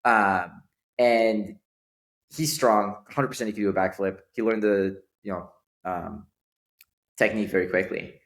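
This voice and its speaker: A young man with a deep, low voice talks casually as a podcast guest. He tells stories with lively energy, often pausing on an "um" before pressing on. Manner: animated storyteller, frequent ums. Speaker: guest, startup founder.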